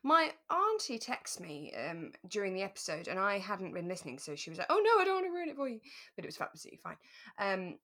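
British woman co-hosting a relaxed podcast. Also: medium pitch, quick pace. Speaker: podcast co-host. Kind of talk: relaxed casual chat.

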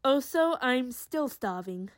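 A woman's voice: high voice, expressive, slow pace. A woman playing a brash, sardonic female troublemaker in an audio drama, with a flippant way of talking.

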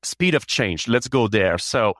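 A man's voice: on the low side, lively intonation, unhurried, fairly bright tone, no echo, studio quality. A man from Canada, answering questions as a guest in a business interview, earnest and often repeating words as he restarts. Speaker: guest, chief people officer.

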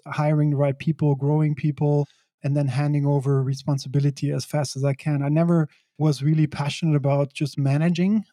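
A middle-aged man from Belgium, speaking as an interview guest in a reflective, measured way with occasional laughs. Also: fairly high pitch, mellow, no echo, clean studio sound. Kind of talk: conversational interview.

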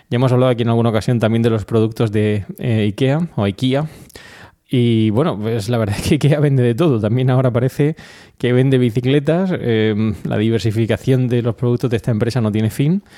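Mellow-voiced man from a Spanish podcast, Castilian accent. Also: mid-range voice. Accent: Spain. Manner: explanatory, addresses listeners directly. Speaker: podcast host. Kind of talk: informal solo podcast talk.

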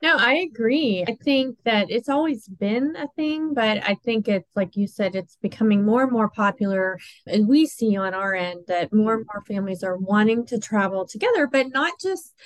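A woman's voice: on the high side, lively intonation, moderate pace, hardly any pauses, no room sound, poor audio. Woman, thirties, agreeable podcast co-host; thoughtful, filler-paced talk, dry close mic. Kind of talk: casual chat.

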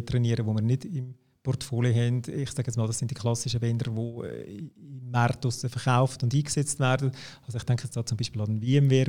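A man's voice: medium pitch, dark tone. A man with a Swiss German accent explaining company services in a business conversation, often hesitating and restarting sentences. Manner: hesitant, many fillers and restarts, "ich sage jetzt mal". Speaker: company representative for education and partners.

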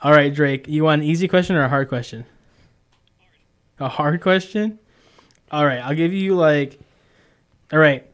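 A man's voice: on the high side, normal speed, long pauses, fairly bright tone. A man hosting a casual call-in quiz podcast, chatting informally. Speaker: podcast host taking call-ins.

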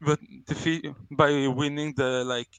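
A man's voice: on the high side, level tone, measured pace, smooth, dry recording, rough recording. A young adult man from Sweden, co-hosting a casual podcast chat, telling rambling stories about himself with plenty of laughs and self-mockery. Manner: rambling storyteller, self-mocking, laughs often. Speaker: podcast co-host.

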